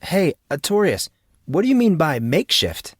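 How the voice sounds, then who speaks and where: Man as easygoing teen boy hero for an audiobook, casual and inquisitive, higher register, dry sound. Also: very animated, moderate pace, breathy edge, dry recording. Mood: curious, prodding. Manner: casual, questioning.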